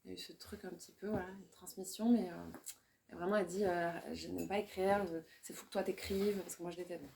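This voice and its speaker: A woman poet, talking in a relaxed discussion, often hesitating and restarting as she searches for words. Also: fairly low pitch, quick pace, vocal fry, slight background noise. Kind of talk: casual discussion.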